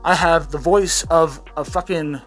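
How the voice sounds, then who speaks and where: A man's voice: on the high side, fairly even intonation, a little slow, a bit bright, faint music behind. A man hosting a satirical commentary show, ranting in a sarcastic, rambling way, swearing freely and amusing himself. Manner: sarcastic, rambling, self-amused, swears a lot. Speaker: host of a satirical commentary channel. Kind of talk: profane satirical rant.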